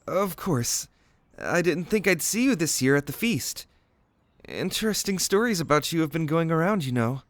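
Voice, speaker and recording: A performer voicing a soft-spoken, polite young temple singer in a fantasy audio drama, formal and a bit hesitant, often sighing. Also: medium pitch, big pitch swings, normal speed, no echo, close to an everyday voice. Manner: gentle and formal, with frequent sighs and hesitant fillers. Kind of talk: fantasy audio roleplay acting.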